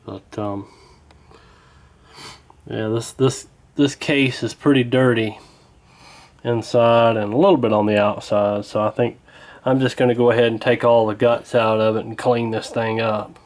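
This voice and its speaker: A man's voice: medium pitch, little pitch movement, a little slow, a little mellow. A man talking casually and hesitantly through a hands-on repair, in a folksy, unscripted way. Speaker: radio repair hobbyist, presenter.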